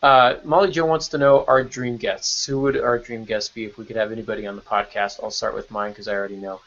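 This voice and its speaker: A man's voice: fairly low pitch, steady tone, fast talker, a bit bright. A man hosting a podcast panel in informal, bantering chat over an online call. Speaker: podcast host. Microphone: online video call. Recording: dull, lo-fi call audio.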